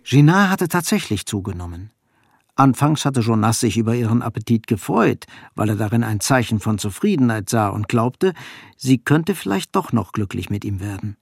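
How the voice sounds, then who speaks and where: Gravelly husky guy narrating a radio drama, sober and measured, dry sound. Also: medium pitch, big pitch swings, dry recording, heavily acted. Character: sober, observant male storyteller of a melancholy novel. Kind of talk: scripted radio play narration.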